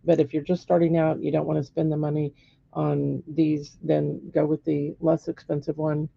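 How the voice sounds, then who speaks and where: A middle-aged woman with a hoarse voice, giving a live painting lesson step by step and checking in with viewers. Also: low voice, level tone, normal speed, no echo, dull audio. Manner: patient step-by-step instruction with frequent "okay?" checks. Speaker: painting teacher. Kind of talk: live-streamed painting demonstration.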